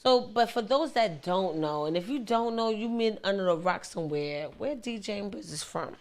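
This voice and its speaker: A woman's voice: mid-range voice, lively intonation, normal speed, darkish tone. A woman hosting a casual, hyped radio interview, slangy and emphatic, often repeating her phrases. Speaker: radio show host.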